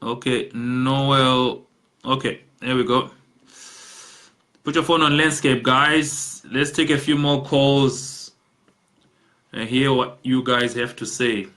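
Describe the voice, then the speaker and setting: Man, Zimbabwean accent, live show host, bright voice, reads comments aloud. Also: mid-range voice, fairly even intonation, slow, long pauses. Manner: reads out comment names, switches between English and Shona. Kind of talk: live call-in chat, reading viewer comments.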